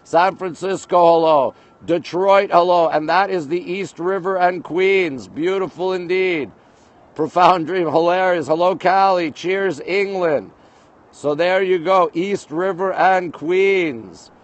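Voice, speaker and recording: A man from Montreal, Canada hosting a casual livestream from a high-rise balcony at night, greeting viewers by place and reading comments aloud. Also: slow, dull audio. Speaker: livestream host. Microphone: phone camera held by speaker.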